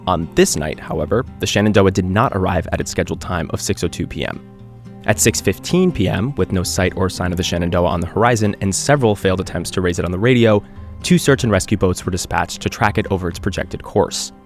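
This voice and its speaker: A man playing an earnest island radio host, narrating an eerie mystery in a measured, conversational podcast style. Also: deep voice, soft background music. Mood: grave, intrigued. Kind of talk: scripted audio drama narration, confessional podcast.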